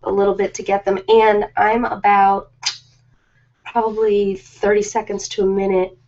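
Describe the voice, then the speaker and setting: A middle-aged woman hosting a casual live chat with her viewers, informal and rambling with hesitant pauses. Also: medium pitch, flat delivery, darkish tone, highs cut off. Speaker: ASMR host.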